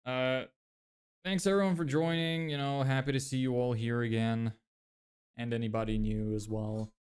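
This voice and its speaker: A young man in his twenties from Lithuania talks through his plans on a livestream, stopping to answer viewers as their questions come in. His voice is smooth and clear at an ordinary middle pitch. He thinks aloud and often corrects himself partway through a sentence. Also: fairly even intonation, moderate pace, darkish tone. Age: 20s. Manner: thinks aloud, self-correcting, frequent fillers. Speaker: livestreamer building a business. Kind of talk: casual livestream chat reading viewer questions.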